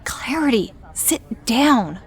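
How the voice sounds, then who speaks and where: A cheerful, supportive best friend in an audio drama. She sounds reassuring, her voice pitched fairly high. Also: expressive, slow pace.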